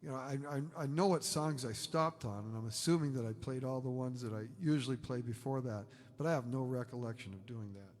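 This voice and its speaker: A middle-aged singer-songwriter talking casually between songs on a livestream, rambling with self-deprecating asides. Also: male voice, medium pitch, a little fast, few pauses, a little mellow, in a large hall. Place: home livestream setup.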